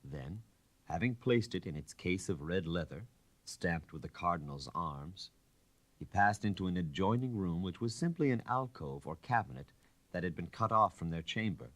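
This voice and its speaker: A man with a deep voice narrates a classic story. His delivery is measured and dramatic, with careful phrasing, and the sound is dry with very little room around it. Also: lively intonation, dry recording. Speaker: audiobook reader.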